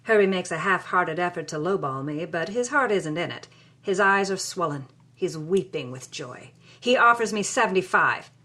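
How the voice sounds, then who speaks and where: A woman's voice: lowish voice, lively intonation, moderate pace, sharp tone. A woman playing a sassy, hard-boiled 1950s bombshell who tells her story in a wry, unsentimental way. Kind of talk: first-person noir-style storytelling.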